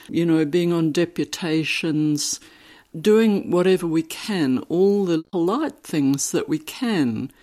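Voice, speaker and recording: A woman answering interview questions in a measured, articulate, dryly critical way. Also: low-pitched, a little mellow. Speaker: activist interviewee.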